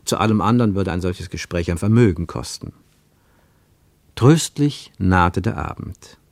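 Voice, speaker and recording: Guy narrating a radio play, lowish voice, animated literary reading, dry studio sound. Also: a little slow, creaky, dry recording.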